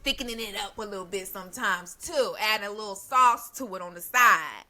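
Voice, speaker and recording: A woman's voice: mid-range voice, brisk, pushed and bright. A woman from Texas with a Southern accent, chatting casually on a podcast and laughing often. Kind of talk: casual chat.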